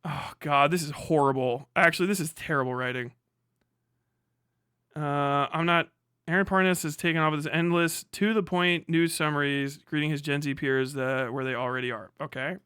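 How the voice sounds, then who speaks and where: Bright, high-voiced American man, sweary and incredulous. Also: fairly even intonation, moderate pace, clear, resonant tone, dry recording, clean studio sound. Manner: sweary, incredulous, breaking off into asides. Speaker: livestream host. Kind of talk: casual livestream reaction, reading an article aloud with commentary.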